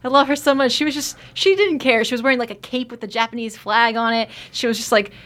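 A woman's voice: a little high, quick pace, fairly bright tone. Chatty woman telling a story. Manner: chatty storytelling, many fillers, laughs easily. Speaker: podcast guest. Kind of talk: casual chat.

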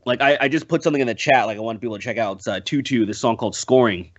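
A man's voice: mid-range voice, rapid-fire, lo-fi sound, slight background noise. A man chatting casually as a podcast guest, emphatic and profane, often repeating himself. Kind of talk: casual panel chat.

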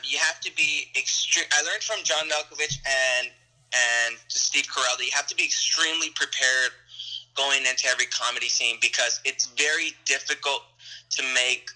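A man's voice: medium pitch, pushed and bright, poor audio. A young adult man, an actor, answering interview questions in a friendly, rambling way with frequent laughs and restarts.

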